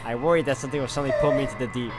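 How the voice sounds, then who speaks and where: Loud music behind, male gaming host, mid-pitched, dramatic reading of game text, reactive commentary. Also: fairly even intonation, very fast. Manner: dramatic reader, exclaims at the game.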